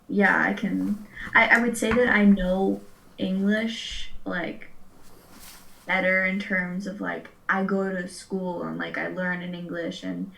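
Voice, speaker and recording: A woman's voice: lowish voice, level tone, slow pace, gravelly, pushed and bright, rough recording. A young woman from Serbia answering interview questions casually, filling her speech with "like". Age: young adult. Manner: chatty, lots of "like" fillers.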